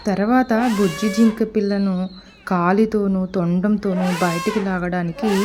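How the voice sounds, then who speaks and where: A woman narrating a children's cartoon story, speaking gently and expressively to the kids. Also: medium pitch, slight background noise.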